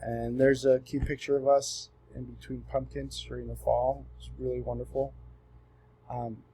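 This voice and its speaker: Mellow, soft-edged young man from Southern California. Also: medium pitch, normal speed, slightly rough, low-quality recording. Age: 20s. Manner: relaxed and earnest, with frequent ums and restarts. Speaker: student presenting himself. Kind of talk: casual self-introduction for a class assignment.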